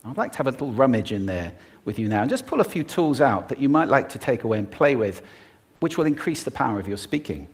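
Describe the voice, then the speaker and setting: Lively, expressive male speaker. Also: mid-range voice, quick pace, slightly rough. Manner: expressive, demonstrating vocal techniques. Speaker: public speaker. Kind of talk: prepared talk on stage.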